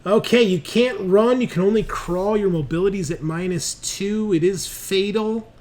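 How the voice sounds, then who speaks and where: A man's voice: high-pitched, moderate pace, a little mellow. A man running a tabletop roleplaying game as game master, moving between casual rules talk and dramatic, sweary narration.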